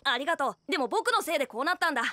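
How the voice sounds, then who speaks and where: Eager, very high-voiced boy hero. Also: very fast, no echo, studio quality. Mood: earnest, determined. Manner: earnest, energetic, encouraging. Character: eager, helpful six-year-old boy superhero. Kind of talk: dubbed children's cartoon acting.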